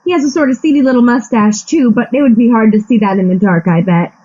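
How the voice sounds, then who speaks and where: A woman acts out a coy, worried lady client in a radio comedy, her voice pitched high. She is halting and pleading in her delivery, and the sound is a little muffled. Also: mellow, close to an everyday voice. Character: anxious, coy young damsel client in distress. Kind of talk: comic radio play acting.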